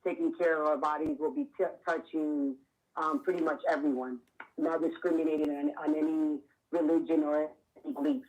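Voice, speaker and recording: A woman's voice: low voice, expressive, a little fast, a little mellow, dull audio. A woman from Miami, probably in her thirties, earnestly explaining her clothing line as an interview guest, with some fillers. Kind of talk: casual interview answers.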